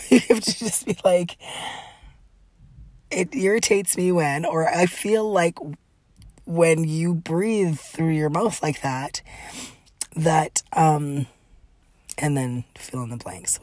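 A middle-aged woman with a breathy, raspy voice, chatting through a solo podcast with lots of fillers and laughs. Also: on the low side, a little mellow, no echo. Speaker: podcast host. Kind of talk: casual solo podcast monologue.

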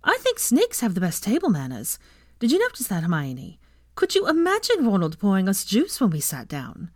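Woman voicing a fiery, high-pitched outspoken teenage girl. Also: wildly swooping pitch, moderate pace, darkish tone, no echo, studio quality. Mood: teasing, brash. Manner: emphatic, blunt, swearing. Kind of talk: audiobook character voice.

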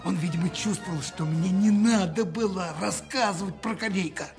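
Naive sidekick crook, high-pitched and earnest, radio drama with clear background music. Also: male voice, normal speed. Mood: earnest, confiding. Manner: earnest, guileless, exclamatory. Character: naive, eager, simple-hearted petty crook, male sidekick. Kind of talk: radio play acting.

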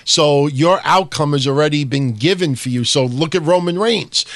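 A man hosting a wrestling podcast, his fairly high voice a little hoarse from days of talking. He talks in an emphatic, quick way and jumps in over his co-host, and the close mic leaves the sound dry. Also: fairly bright tone, dry recording. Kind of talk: casual wrestling podcast chat.

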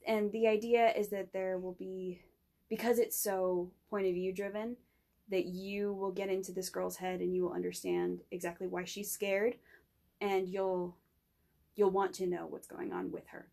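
Young woman with heavy vocal fry. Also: mid-range voice, flat delivery, normal speed, mellow. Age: young adult. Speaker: student podcast guest pitching a project. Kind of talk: informal spoken pitch in an interview.

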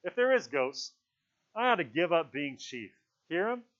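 A man voicing an anxious, indignant but sensible boy in a storybook, with a trilling, squeaky voice and ungrammatical, protesting speech. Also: expressive, a little fast, a bit bright, muffled recording. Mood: frightened, pleading. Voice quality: trilling, squeaky when upset.

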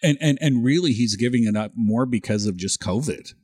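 A man's voice: medium pitch, lively intonation, a little fast, a little mellow, breathy edge, no room sound, clean studio sound. A middle-aged Canadian man whose first language was French, co-hosting a casual podcast chat and often repeating words as he starts a sentence. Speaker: podcast co-host.

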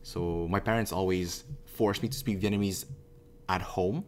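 Low-voiced hesitant young man. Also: steady tone, normal speed. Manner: hesitant, thoughtful, many restarts. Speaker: conversation partner. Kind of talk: casual discussion.

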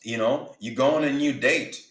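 A man's voice: medium pitch, normal speed, a bit bright. A man from Uganda giving an earnest motivational talk about faith and business, repeating words for emphasis. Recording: roomy echo.

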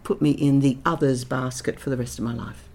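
Middle-aged woman guest, very low and gravelly, reflective storyteller with dry wit. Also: fairly even intonation, a little fast, darkish tone. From Netherlands. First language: Dutch. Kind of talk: candid interview conversation.

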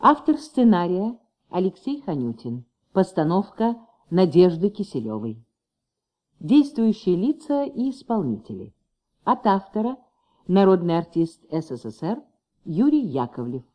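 A woman's voice: on the low side, sing-song, a little slow, mellow. A woman announcer reading formal scripted credits for a radio play.

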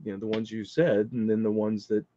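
A man's voice: fairly low pitch, flat delivery, fairly quick, dark tone, no room sound, lo-fi sound. A man speaking as a guest in a casual panel discussion, wry and matter-of-fact. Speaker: podcast guest.

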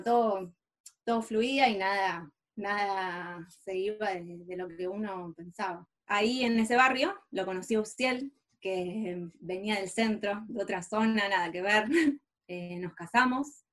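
A woman with an Argentine accent from Rosario, telling her own story casually as an interview guest in a chatty, informal way. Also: mid-range voice. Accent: Rosario Argentine Spanish.

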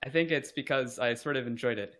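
A young man from the United States answers questions in a relaxed interview. His voice is bright and forward. He talks in loose, hesitant runs full of fillers and self-deprecating remarks. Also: medium pitch, fairly quick. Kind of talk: casual conversation.

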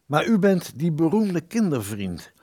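A man's voice: fairly high pitch, sing-song, measured pace, darkish tone. Man acting a formal, wronged ghostly writer. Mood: wronged, earnest. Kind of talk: radio play acting.

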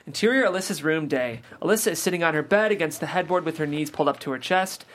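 A reader calmly reading stage directions aloud at a table read. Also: mid-range voice, fast. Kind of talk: scripted narration.

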